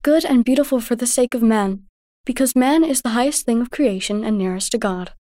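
Storybook mother, played by a woman: gentle and devout, measured teaching manner, raised pitch, dry recording. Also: dry recording, close to an everyday voice. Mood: patient, instructive. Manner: tender, measured, instructive.

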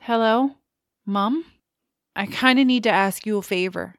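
A woman's voice: medium pitch, a little slow, a bit bright, no room sound, clean studio sound. A woman playing a shy, awkward, put-upon young office worker in an audio drama. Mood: hesitant.

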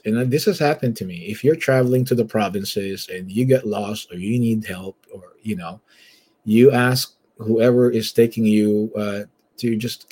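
A man from the Philippines with a Filipino accent and a husky voice, telling his story conversationally as an interview guest. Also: on the low side, a little mellow. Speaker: church elder and guest.

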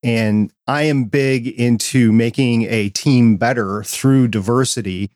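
A man's voice: medium pitch, slow, darkish tone, no echo, clean studio sound. A man with a Midwestern American accent, hosting a podcast chat and explaining his views in an earnest, reflective way. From Midwestern United States. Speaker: podcast host. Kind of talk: casual interview chat.

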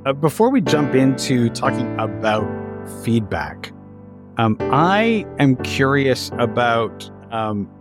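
A man's voice: mid-range voice, sing-song, slow pace, over music. Canadian man hosting a podcast. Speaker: theater podcast host. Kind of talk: scripted podcast intro and conversational interviewing.

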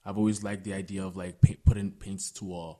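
A young filmmaker chats easily about how he started out, with plenty of fillers and laughs. His voice is on the low side. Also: fast. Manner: relaxed, many fillers like "like" and "you know", laughs. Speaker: filmmaker guest. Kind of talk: casual conversation.

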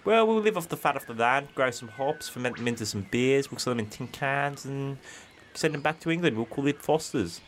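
A man's voice: mid-range voice, lively intonation, slight background noise, close to an everyday voice. A man playing a cheeky, scheming, matey convict. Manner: matey, slangy fast-talker. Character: cheeky, scheming male convict posing as easygoing nobleman.

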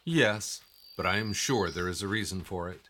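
Low-voiced, calm mysterious guide played by a man. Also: normal speed. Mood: reassuring. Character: calm, mysterious male guide, faithful and reassuring. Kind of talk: audio drama acting.

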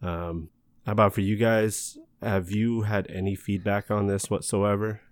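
A man's voice: lowish voice, flat delivery, unhurried, no room sound, studio quality. A man hosting a casual podcast, talking in a friendly, rambling way and laughing easily. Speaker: podcast host. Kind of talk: casual chat.

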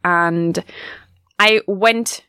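A woman in her twenties from Germany, hosting a casual student radio chat, talking fast and loose with frequent laughs and restarts. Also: on the low side, fairly even intonation, pushed and bright, clear, resonant tone, no echo, studio quality. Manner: chatty, laughs often, restarts sentences.

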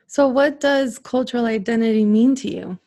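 A woman's voice: on the high side, normal speed, dark tone. A woman hosting a casual interview, friendly and laughing often as she asks rambling questions. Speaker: interview host.

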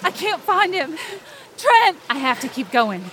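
A woman's voice: extremely high pitch, very animated, measured pace, background noise, full character voice. A woman playing a panicked young woman, narrating a desperate scene in the first person with urgent intensity for a fiction podcast. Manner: urgent, intense, breaking into cries.

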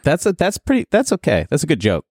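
A man's voice: fairly high pitch, huge pitch swings, a little mellow, slightly rough, dry recording, studio quality. A man hosting a casual podcast, teasing his friends and stretching words out for emphasis. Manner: playful, teasing, drawn-out emphasis. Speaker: podcast host. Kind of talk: casual banter.

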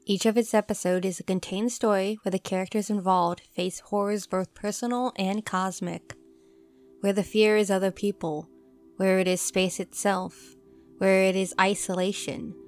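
A woman narrates a sci-fi horror trailer in a mid-range voice. Her delivery is measured, scripted and dramatic. Music plays behind her. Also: mid-range voice.

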